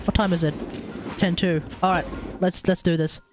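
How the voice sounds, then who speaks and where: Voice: mid-range voice, steady tone, normal speed. A game streamer giving casual, informal and reactive live commentary in a chatty way. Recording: game music behind the voice.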